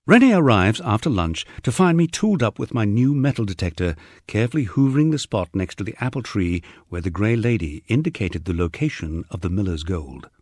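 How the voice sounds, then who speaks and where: Low-voiced, husky man reading an audiobook. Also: a little mellow, dry recording, clean studio sound. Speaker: audiobook reader. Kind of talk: scripted audiobook narration of a memoir.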